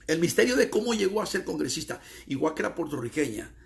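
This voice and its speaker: Middle-aged US-born evangelist, raspy fry, a bit high, forceful sermon delivery with repetition. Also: male voice, very animated, quick pace. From United States. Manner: emphatic, repeats phrases, stretches key words. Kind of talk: preaching monologue.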